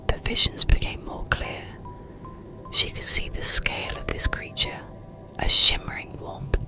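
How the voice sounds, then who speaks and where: A storyteller whispering a bedtime story in a hushed, soothing, unhurried ASMR narration. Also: sits high, gravelly, low-quality recording.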